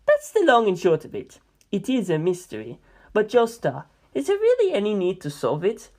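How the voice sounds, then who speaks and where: A woman voicing a composed, dryly evasive male mafia member in a measured, matter-of-fact way for a story reading. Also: medium pitch, huge pitch swings, a little mellow.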